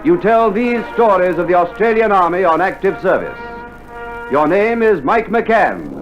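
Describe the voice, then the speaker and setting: A man's voice: high voice, sing-song, moderate pace. A man announcing a programme in a dramatic, scripted 1960s radio style. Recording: dull vintage vinyl, loud theme music. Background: loud music.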